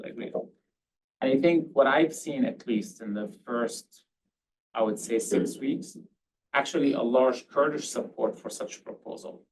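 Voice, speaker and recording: A man's voice: medium pitch, gravelly. A man from Syria speaking as a panelist at a policy discussion, often hedging and restarting his sentences with um and I mean. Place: panel event hall. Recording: distant mic, faint background noise.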